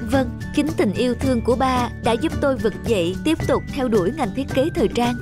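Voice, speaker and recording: Woman playing sincere young designer, highish voice. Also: a little fast, loud music behind the voice, lightly acted. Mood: heartfelt. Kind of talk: animated drama speech.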